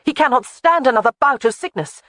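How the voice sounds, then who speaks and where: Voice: sits high, lively intonation, fairly quick, a bit bright, dry recording, voice pushed up. The audiobook narrator voicing a protective young woman who scolds firmly. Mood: scolding. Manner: scolding, firm. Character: fierce, protective young woman caring for a baby.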